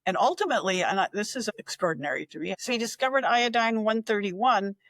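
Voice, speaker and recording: A woman's voice: mid-range voice, animated, fast talker, fairly bright tone, no room sound. A woman in her sixties tells a history story with chatty enthusiasm. She sometimes restarts a sentence as she goes. Her voice sits in the middle range, and the recording is dry and close. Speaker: regular history segment contributor. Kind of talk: casual storytelling.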